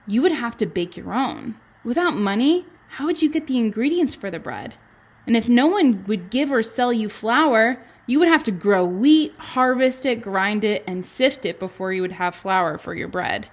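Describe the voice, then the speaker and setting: A woman, a kindergarten teacher reading to children and adding chatty, animated explanations and questions in simple words. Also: on the high side, moderate pace. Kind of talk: children's read-aloud with chatty asides.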